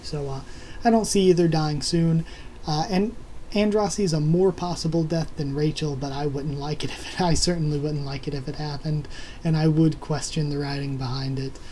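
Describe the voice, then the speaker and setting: A man's voice: a little high, fairly even intonation, moderate pace, dark tone, a little hiss or hum, poor audio. A man hosting a casual video where he answers viewer comments, rambling with many filler words while he is losing his voice. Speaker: YouTube host answering comments.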